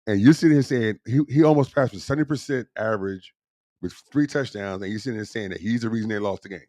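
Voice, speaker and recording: A man's voice: lowish voice, fast talker, dark tone, no room sound. A man co-hosting a sports talk show who challenges his partner, cuts in, and keeps repeating "listen" and "wait a second.". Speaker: co-host. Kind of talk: heated sports debate.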